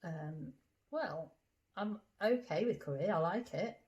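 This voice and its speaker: A woman's voice: lowish voice, expressive, takes it slowly, darkish tone, dull audio. A woman playing a dinner guest in a comedy sketch, answering in a patient, matter-of-fact way. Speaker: puppeteer acting a dinner guest.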